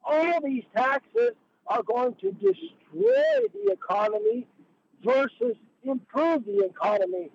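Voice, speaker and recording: A hoarse man calling in to a radio show, telling his story in an indignant, rushed way. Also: very high voice, big pitch swings. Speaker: caller. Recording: muffled phone call with noise.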